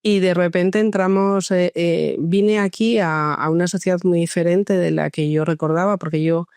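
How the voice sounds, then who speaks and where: A woman psychologist born in France, telling her story in a relaxed interview with many hesitations and restarts. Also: fairly low pitch, normal speed, clear, resonant tone, no room sound, clean studio sound. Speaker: guest psychologist. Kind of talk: conversational interview.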